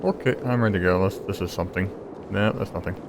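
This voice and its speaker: A man's voice: low-pitched, fast, vocal fry, background noise. A man giving offhand, joking commentary as he plays a video game. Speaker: gamer playing a video game. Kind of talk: casual gameplay commentary. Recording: game music behind the voice.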